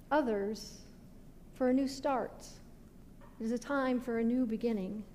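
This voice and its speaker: A middle-aged American woman preaches from the pulpit in a high voice. She phrases deliberately, letting the ends of sentences drop away. The sanctuary adds a long reverb, with faint noise underneath. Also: a little mellow. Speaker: pastor. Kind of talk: sermon. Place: indoor stone-walled church sanctuary at a pulpit. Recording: reverberant church hall with background noise.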